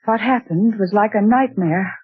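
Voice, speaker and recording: An actress playing a genteel woman recounting a ghostly night in 1940s radio drama style, theatrical and breathy. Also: medium pitch, moderate pace, close to an everyday voice. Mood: storytelling. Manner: dramatic, exclaiming. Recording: tinny old radio broadcast.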